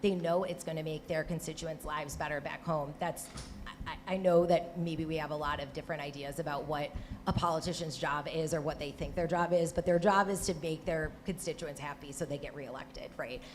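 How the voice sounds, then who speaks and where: A woman's voice: deep voice, steady tone, a little fast, a little hiss or hum. A woman with a Michigan accent speaking on a live panel discussion about water policy, talking conversationally with frequent restarts and "right?" tags. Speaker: panelist, former Senate staffer.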